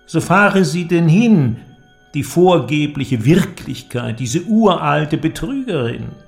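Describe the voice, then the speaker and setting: An actor playing an eloquent, persuasive inventor in a radio drama, with a declamatory, deliberate delivery and ornate phrasing. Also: male voice, fairly high pitch, lively intonation, creaky, soft background music, exaggerated voice. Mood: rhetorical, urging. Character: eloquent visionary male inventor, grandiose and persuasive. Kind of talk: German literary radio drama acting.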